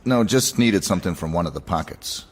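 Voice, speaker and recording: A man playing a terse, emotionless professional assassin in a video game, speaking in a curt, deadpan way. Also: sits low, brisk. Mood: curt, guarded. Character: terse, cold, emotionless professional male hitman. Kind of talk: scripted video game dialogue.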